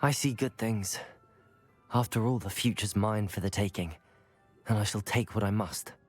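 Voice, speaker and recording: A man's voice: fairly low pitch, normal speed, soft background music, lightly acted. A man voicing a confident, polite student wizard in a video game, sounding self-assured.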